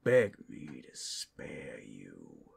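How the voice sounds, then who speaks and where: A man playing a cursed, menacing captive werewolf in an ASMR roleplay, with a gravelly, husky voice that often breaks into whispers. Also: extremely low pitch, huge pitch swings, darkish tone, heavily acted. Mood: predatory, mocking. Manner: slow, dramatic, swinging between whispers and outbursts. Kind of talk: ASMR audio roleplay acting.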